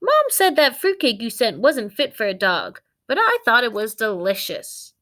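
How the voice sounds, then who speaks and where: Woman putting on a chatty, high-pitched talking dog. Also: huge pitch swings, normal speed, a bit bright, slight background noise. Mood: blunt. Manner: outspoken, cheeky, talkative. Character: chatty, blunt talking female family dog.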